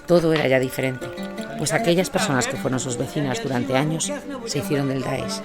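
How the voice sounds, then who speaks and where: A man's voice: medium pitch, fairly even intonation, a little fast. A man reading scripted documentary narration as the narrator. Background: music under the voice.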